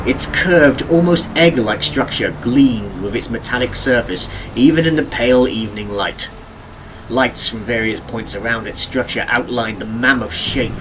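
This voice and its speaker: A man reading scripted audiobook narration of an adventure story in a deliberate, dramatic, descriptive way. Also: mid-range voice, poor audio. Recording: music behind the narration. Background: game and cartoon soundtrack music.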